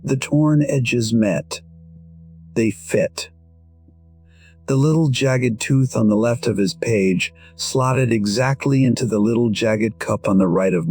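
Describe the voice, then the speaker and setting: A gentle man in his sixties, voiced by an actor, narrates his own story in an audio drama. He speaks slowly at a medium pitch, reflective, deliberate and wistful. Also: slightly rough. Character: reflective, gentle 62-year-old musician telling his story.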